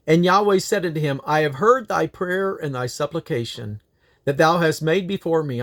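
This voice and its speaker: Higher-pitched man reading scripture aloud. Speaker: Bible reader.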